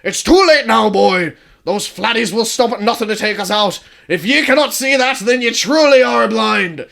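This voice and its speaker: A man voicing a gruff father leading a clan, booming and old-fashioned in speech. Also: expressive, moderate pace, a bit bright, heavily acted. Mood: stern.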